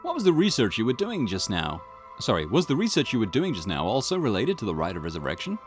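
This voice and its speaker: A man playing a calm, politely persistent police detective in a visual novel, measured and courteous as he questions. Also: fairly low pitch, faint music behind. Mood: inquisitive. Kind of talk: acted visual novel dialogue.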